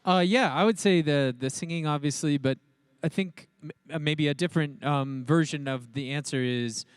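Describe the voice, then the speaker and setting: A man's voice: on the high side, normal speed, clean tone, no room sound. A man, a voice actor, giving a hesitant, thoughtful answer in casual panel talk. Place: live convention panel.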